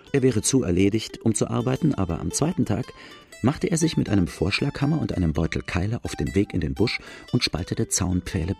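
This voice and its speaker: An actor playing an outlaw recounting his boyhood, as gravelly first-person narration in a radio drama. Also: deep voice, mellow, heavily acted. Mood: reflective.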